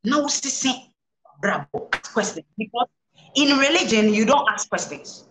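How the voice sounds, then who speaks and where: A woman's voice: on the high side, animated, unhurried, fairly bright tone, reverberant. A woman reverend with a Ghanaian accent, answering interview questions in a preaching manner, mixing English and Twi and repeating her phrases for emphasis. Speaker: reverend guest. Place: studio set, indoors.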